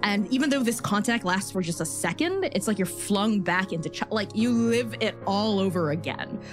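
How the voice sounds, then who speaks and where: A woman's voice: mid-range voice, animated, normal speed. Woman game master narrating over music.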